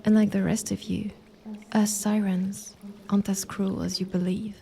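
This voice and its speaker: Woman playing a mellow, sinister siren. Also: medium pitch, flat delivery, normal speed, vocal fry, slight background noise, natural voice. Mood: sly, taunting. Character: taunting female siren, sweetly sinister sister. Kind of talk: dramatic audio roleplay.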